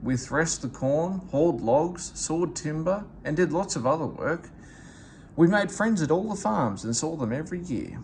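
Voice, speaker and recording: A gentle, wistful old male traction engine from a storybook, quietly proud, as a man reading aloud puts him on. The voice rides fairly high with lively pitch. Faint hiss sits behind it. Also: normal speed.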